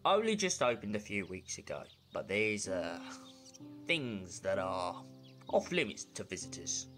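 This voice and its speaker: Man as a slow, gruff old-school groundskeeper. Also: fairly low pitch, big pitch swings, a bit bright, soft background music. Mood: guarded. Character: gruff, guarded senior groundskeeper at a college. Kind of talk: fan audio drama acting.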